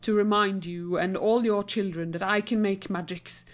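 A woman reading a storybook aloud, voicing a stern old male magician who speaks in measured commands. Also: mid-range voice, fairly even intonation, close to an everyday voice.

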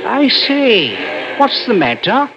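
Animated, nosy timekeeper, vintage radio. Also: male voice, high-pitched, takes it slowly, heavily acted. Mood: concerned. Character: nosy, casual factory timekeeper. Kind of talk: old-time radio suspense drama acting. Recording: muffled, very noisy vintage radio broadcast.

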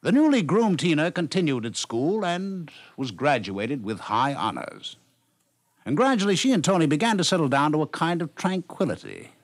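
A man's voice: on the high side, very animated, moderate pace, no echo. An American man reading scripted narration in the manner of a 1950s radio documentary narrator.

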